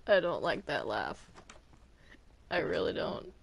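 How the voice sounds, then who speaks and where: Gravelly, hesitant gamer with lively pitch. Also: takes it slowly. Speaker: video game player in a group roleplay. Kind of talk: casual in-game chat.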